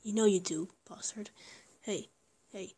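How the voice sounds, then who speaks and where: A woman's voice: mid-range voice, unhurried, mellow. A woman voicing a small, affectionate young lover who whispers, stammers and rambles between giggles. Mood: tender. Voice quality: breathy whisper. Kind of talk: whispered intimate roleplay audio.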